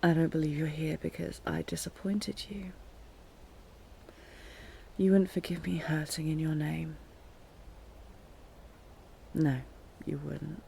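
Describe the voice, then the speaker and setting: A slow, breathy, wounded queen played by a woman, held captive and delirious. She murmurs haltingly in a narrow, subdued range, often slipping into a whisper, lovelorn and full of regret. It is a fantasy audio drama with faint noise behind it. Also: low-pitched, mellow, heavily acted. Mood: confused, pained. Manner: halting, murmured, rambling as if half-dreaming. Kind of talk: intimate scripted audio roleplay.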